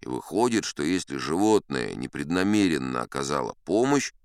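Deep, swooping, boastful old-salt sea captain. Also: male voice, very animated, gravelly, clean tone, dry recording, studio quality, full character voice. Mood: sly. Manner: conversational, wry, unhurried raconteur with dramatic pauses. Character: boastful seasoned sea captain telling tall tales. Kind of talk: theatrical first-person audiobook storytelling.

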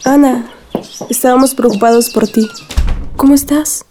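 A woman's voice: high voice, fairly even intonation, normal speed, mellow, soft background music, lightly acted. An actress playing a caring, anxious young woman, a worried friend in a radio drama. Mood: concerned. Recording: very noisy, clicks and birdsong.

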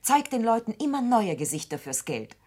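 An actress playing a lively, worldly young stage performer and sweetheart, coaxing and theatrical, in a radio drama. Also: female voice, on the high side, normal speed. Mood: coaxing, playful.

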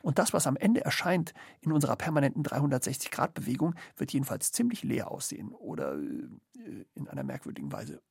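Wry intellectual man, gravelly and husky, measured irony, radio play. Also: medium pitch, sing-song, no echo, studio quality, full character voice. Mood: measured, ironic. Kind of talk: experimental radio play dialogue.

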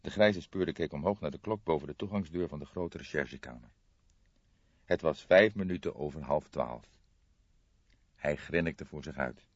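A man's voice: very deep voice, moderate pace, long pauses, breathy edge, no room sound, lo-fi sound. A man reading a crime audiobook as narrator, in a dramatic scripted style, close to the microphone.

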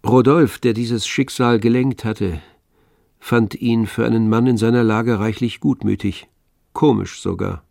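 A man narrating a tragic novel for a radio play in a measured, literary manner. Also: mid-range voice, steady tone, gravelly, darkish tone, no room sound. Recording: dry studio with faint music.

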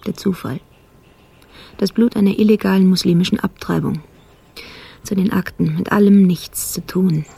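Husky, gravelly female investigator. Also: fairly low pitch, normal speed, pauses often, mellow. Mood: sober, reporting. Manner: clipped, factual, report-like delivery. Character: sober female police investigator recounting a murder case. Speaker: actor in radio play. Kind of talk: scripted radio drama narration.

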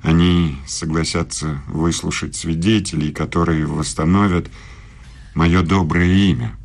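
An actor in a radio play voicing a proud, aggrieved older German philosopher, formal, measured and self-justifying. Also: male voice, rumbling low, vocal fry, full character voice. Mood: formal, pleading. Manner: measured, formal, deliberate speech.

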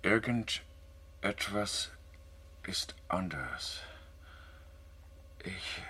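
An actor playing a sober, resolute crew member in a radio play, speaking plainly and deliberately. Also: male voice, sits low, expressive, sharp tone, husky, full character voice. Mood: uneasy, faltering. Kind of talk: German radio play acting.

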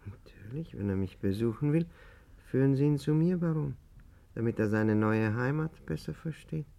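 An actor playing a weary, wise older exile, measured and gently resigned, in a 1950s German radio play. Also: male voice, medium pitch, expressive, vocal fry. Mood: courteous.

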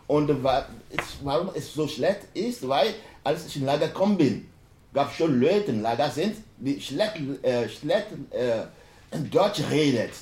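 Halting man speaking in an echoey hall. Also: on the high side, animated, a little mellow. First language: not German. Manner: halting, broken German with many restarts. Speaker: panel speaker, refugee. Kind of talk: panel discussion talk. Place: university lecture hall. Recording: roomy hall echo.

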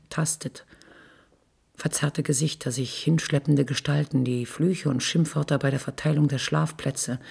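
A woman narrates scripted literary prose with restraint. Her voice is very husky and breathy, with a creaky edge and a soft, dark tone. The delivery is measured and sober. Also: low voice. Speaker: narrator in a radio literary feature.

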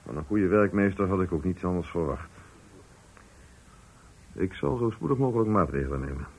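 An actor in a radio play playing a calculating, condescending company director, speaking formally with a husky voice. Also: male voice, deep voice, expressive, normal speed, full character voice. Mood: cool, calculating. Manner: formal, smooth-talking, patronising. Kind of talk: Dutch radio play acting.

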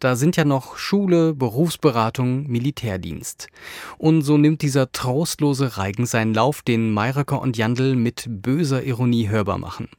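Male radio presenter: medium pitch, measured scripted introduction, close and dry studio recording. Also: a little mellow, dry recording. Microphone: close studio microphone.

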